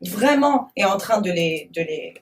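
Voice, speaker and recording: A woman's voice: mid-range voice, expressive, measured pace, distant mic, background noise. A woman from France, a guest on a talk show, arguing with indignation and often restarting her sentences. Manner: impassioned, indignant, many restarts. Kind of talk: heated talk show discussion.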